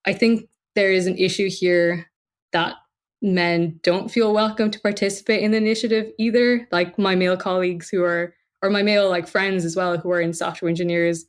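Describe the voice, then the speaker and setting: A woman giving earnest, reflective interview answers in a conversational way. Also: on the low side. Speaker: podcast interviewee, tech worker.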